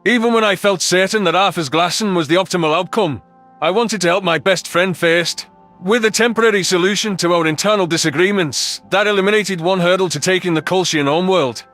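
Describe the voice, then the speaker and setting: A man's voice: high-pitched, brisk, fairly bright tone, soft background music. A man playing a thoughtful alien soldier who narrates his own story in a scripted sci-fi audio drama. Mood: reflective, serious.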